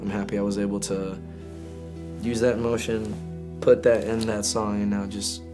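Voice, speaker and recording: A man from Greece, an indie singer-songwriter, talking casually and candidly in an interview in an informal, halting, slangy way. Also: lowish voice, little pitch movement, over music.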